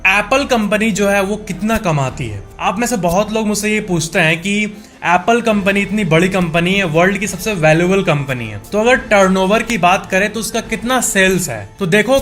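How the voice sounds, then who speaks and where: Male facts presenter, high-pitched, conversational explainer delivery addressed straight to the viewer, background music. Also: moderate pace, fairly bright tone. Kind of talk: informal explanatory voice-over narration.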